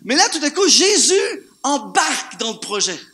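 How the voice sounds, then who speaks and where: A man with a Canadian French accent preaching an animated sermon to a church congregation, firing off rhetorical questions and repeating words for emphasis. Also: extremely high pitch, normal speed. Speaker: guest preacher. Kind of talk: lively sermon.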